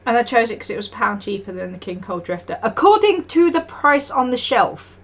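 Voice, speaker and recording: A woman's voice: a little high, sing-song, a little fast, fairly bright tone. A woman streaming live, chatting casually and telling stories with frequent laughs and acted-out quotes. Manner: chatty storytelling, often laughing, acting out quotes. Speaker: art streamer.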